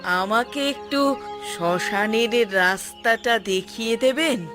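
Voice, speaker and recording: A woman voicing a serene, fearless wandering holy woman in a cartoon, speaking calmly and formally. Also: on the high side, music in the background. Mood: calm, composed. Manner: calm, formal, measured. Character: serene fearless wandering female ascetic of cremation grounds. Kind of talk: cartoon voice acting.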